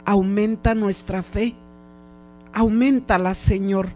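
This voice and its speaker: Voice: medium pitch, steady tone, takes it slowly, a little mellow. A prayer leader speaking a fervent, improvised devotional prayer in short, pleading, repeated phrases. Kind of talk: fervent extemporaneous spoken prayer. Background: music.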